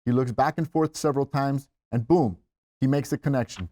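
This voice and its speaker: Male narrator, medium pitch, scripted stage-direction read for a screenplay. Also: a little fast, mellow.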